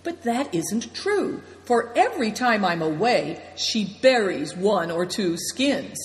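A woman voicing a grandiose, flattering traveler man who declares things with emphasis, read aloud in an echoey room. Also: medium pitch, takes it slowly, voice pushed up. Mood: pompous. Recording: echoey room with long reverb.

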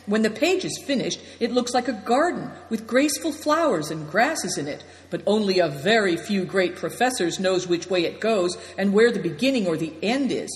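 A woman voicing a grandiose, flattering traveler man who declares things with emphasis, read aloud in an echoey room. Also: medium pitch, moderate pace, hardly any pauses, voice pushed up, close to an everyday voice. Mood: pompous. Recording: echoey room with long reverb.

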